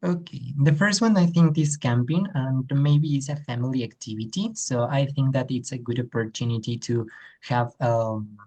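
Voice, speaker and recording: A man's voice: medium pitch, warm tone, low-quality recording. A man from Ecuador, answering practice exam questions hesitantly with many fillers. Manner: hesitant, many ums and uhs, slowing to search for words. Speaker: exam candidate.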